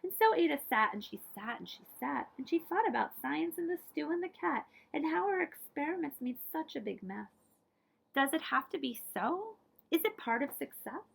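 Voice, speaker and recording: Female children's librarian for picture-book reading: lively, swooping delivery, creaky edges, theatrical emphasis. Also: high voice, normal speed, poor audio. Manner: expressive, dramatic emphasis, drops to whispers. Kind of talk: animated storybook read-aloud.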